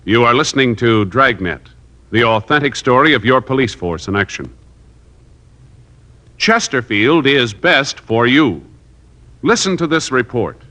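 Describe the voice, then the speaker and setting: A man announcing a 1950s radio show and its sponsor in a crisp, formal, measured way. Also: medium pitch, big pitch swings, sharp tone.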